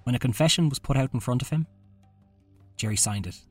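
A man's voice: medium pitch, fairly quick, husky, soft background music. A man hosting a podcast, reading a scripted true-crime narration. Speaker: podcast host.

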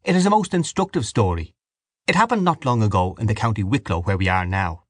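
A man's voice: on the low side, lively intonation, brisk, no echo, clean studio sound. A man voicing a fierce, proud dinner guest in an audiobook novel, indignant and emphatic. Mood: storytelling.

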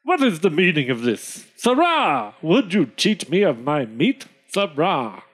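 A man's voice: fairly high pitch, sing-song, unhurried, slightly rough, full character voice. A man voicing a pompous, blustering English country squire who bellows and harumphs. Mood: outraged. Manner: bellowing, huffy, full of harumphs.

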